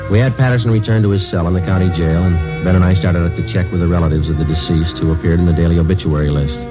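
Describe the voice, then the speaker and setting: Guy doing a stoic radio cop, real low voice, flat matter-of-fact delivery, muffled old 50s recording. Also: fast, over music, close to an everyday voice. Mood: deadpan, somber. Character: stoic male police sergeant, terse and businesslike. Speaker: lead actor and narrator. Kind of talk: 1950s radio drama acting, clipped narration.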